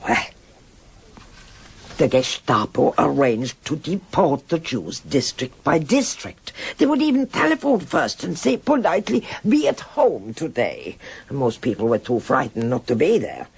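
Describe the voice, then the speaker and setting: Husky, creaky old Berlin grande dame. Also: female voice, a bass voice, sing-song, unhurried, heavily acted. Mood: confiding. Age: older. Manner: theatrical, rambling reminiscence with sighs and interjections. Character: old Berlin woman, former star, nostalgic, worldly, frank. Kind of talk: radio drama acting.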